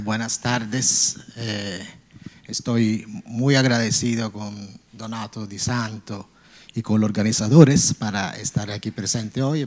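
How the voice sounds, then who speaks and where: A man in his forties, a native Italian speaker with a slight Cuban lilt, asks a formal question from a conference audience into a handheld microphone in a large hall, earnest and halting. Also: lowish voice, darkish tone. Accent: Italian, with some Cuban Spanish. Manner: earnest, halting, with frequent pauses. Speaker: audience member asking a question.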